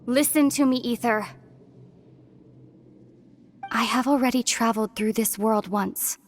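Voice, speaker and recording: A woman playing a solemn, resolute young princess in a video game cutscene, speaking in a measured, grave, deliberate way. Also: a little high, slight background noise, close to an everyday voice.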